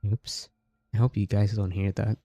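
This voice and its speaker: A man's voice: fairly low pitch, flat delivery, moderate pace, dark tone, dry recording, muffled recording, soft background music. A man from the Philippines chatting casually as he livestreams a game. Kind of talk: casual game-stream chat.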